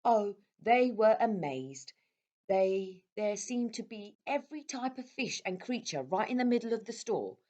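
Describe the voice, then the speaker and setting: A woman reading a children's story aloud as a storyteller, with expressive delivery. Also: mid-range voice, unhurried. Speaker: storyteller at a primary school.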